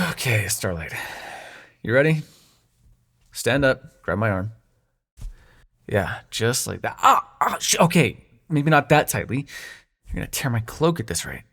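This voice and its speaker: Here is a young demon prince of nightmares, acted out by a man, with a mid-pitched voice and a gravelly creak. His delivery swings widely in pitch as he teases and snarks. He speaks intimately to the listener in an audio roleplay, hiding a soft heart behind sarcasm. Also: very animated, measured pace, long pauses, heavily acted. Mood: awkward, flustered. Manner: sardonic, teasing, hesitant when showing affection.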